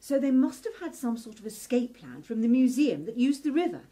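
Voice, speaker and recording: An inquisitive young Victorian lady, played by a woman in a radio play. Her voice is high and very animated, heard in a roomy space off the mic. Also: fairly quick, recorded from a distance. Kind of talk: radio drama acting.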